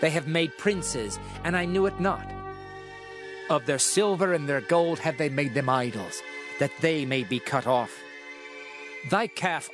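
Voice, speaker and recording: A man's voice: a little high, natural voice. A man playing a stern prophet who speaks for an angry God, declaiming scripture solemnly in a dramatized reading. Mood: stern, wrathful.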